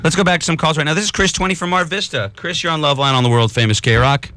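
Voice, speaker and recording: A man's voice: on the high side, quick pace, bright, forceful tone. A man co-hosting a late-night call-in radio show, rambling through made-up stories to make his point, on a studio microphone. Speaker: radio co-host.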